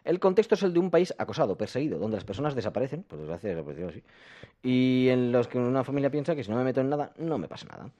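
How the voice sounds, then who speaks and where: Fast-talking playful radio host man. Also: mid-range voice, sing-song, mellow, dull audio. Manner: fast, playful, teasing, jokey asides. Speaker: radio film show host. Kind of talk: casual radio banter.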